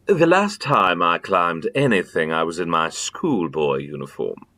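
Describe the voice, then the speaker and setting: A man acting a stately, indolent older Victorian gentleman in an audio drama, with a pompous, dry manner. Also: low voice, expressive, measured pace, exaggerated voice. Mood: weary, indignant. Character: Mycroft Holmes, stately, indolent older brother of the detective.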